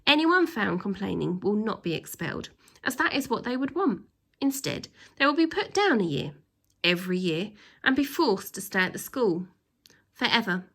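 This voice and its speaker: Female reader as male school tyrant for a storybook: pompous, stern, creaky, hammering each rule with drawn-out emphasis. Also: a little high, fairly bright tone, voice pushed up, heavily acted. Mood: menacing, imperious. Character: pompous tyrannical male headmaster reading out his rules. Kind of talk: children's storybook read-aloud.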